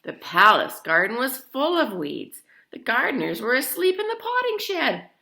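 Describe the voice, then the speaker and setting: A woman reads a picture book aloud for children. Her voice is bright and very animated, and she is theatrical and emphatic, dropping in chatty asides. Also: high-pitched, normal speed, vocal fry. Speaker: storybook reader.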